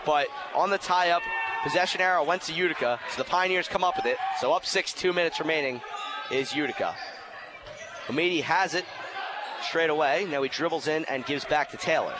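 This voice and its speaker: A young man giving live basketball play-by-play, excitable and raising his voice on big plays. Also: a little high, fairly quick. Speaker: play-by-play announcer and sports show host. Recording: noisy gym ambience, bouncing ball. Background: basketball game in an indoor gym.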